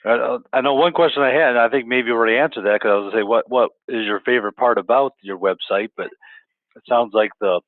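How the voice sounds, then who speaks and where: A man's voice: mid-range voice, quick pace, no room sound. A man interviewing a guest, stumbling and repeating himself often as he talks. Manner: stumbling, many restarts and repeats. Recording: tinny dry phone-like line.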